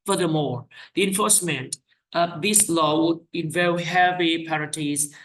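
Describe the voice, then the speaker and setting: Bright-voiced IELTS teacher. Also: medium pitch, measured pace, low-quality recording. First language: Vietnamese. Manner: reads out questions and answers, corrects own slips with "sorry". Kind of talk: reading sample exam answers aloud.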